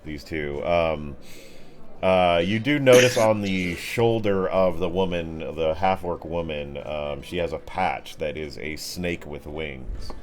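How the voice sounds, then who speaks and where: A man's voice: deep voice, takes it slowly. A man narrating an improvised tabletop game as game master in a casual, descriptive way. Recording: music behind the voice.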